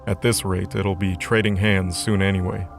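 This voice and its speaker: Lowish male story narrator over music. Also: little pitch movement, moderate pace, vocal fry. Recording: music under the voice.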